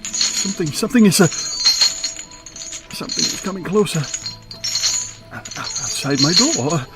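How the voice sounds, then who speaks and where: An actor in a radio play playing a grumpy, frightened old miser who stammers and blusters haltingly. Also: male voice, on the high side, lively intonation, loud music behind the voice. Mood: fearful, defiant.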